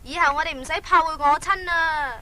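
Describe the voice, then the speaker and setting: Eager boyish brother, extremely high piercing voice, excitable and chirpy, Hong Kong Cantonese, children's radio drama. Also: female voice, fairly quick. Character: eager, boyish elder son in a fairy tale.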